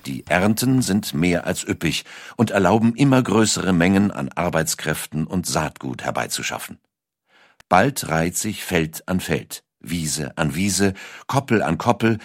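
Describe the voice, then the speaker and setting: A man narrating a scripted documentary story in a measured way, with dramatic emphasis. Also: low voice, animated, no room sound, clean studio sound. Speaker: audiobook narrator.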